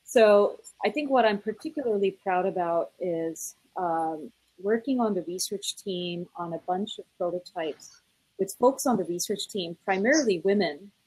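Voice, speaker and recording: Slightly husky woman, dry close sound. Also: mid-range voice, normal speed, a little mellow, dry recording, rough recording. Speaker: research manager on a panel. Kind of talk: conference panel storytelling.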